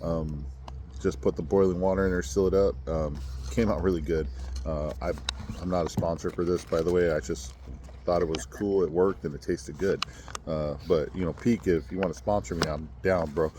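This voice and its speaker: A man's voice: low voice, steady tone, moderate pace, background noise. A man casually vlogging outdoors at a campsite, chatty and laid-back with joking asides.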